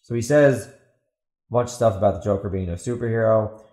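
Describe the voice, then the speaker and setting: A man's voice: fairly low pitch, brisk, dark tone, roomy sound. A man hosting a podcast, giving opinionated commentary in a sarcastic, emphatic way and repeating himself for stress. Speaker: podcast host and author.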